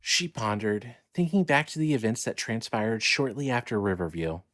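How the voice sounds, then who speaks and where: A man reading scripted dramatic fiction as narrator, in a measured, descriptive storytelling manner. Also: fairly low pitch, animated, fairly bright tone.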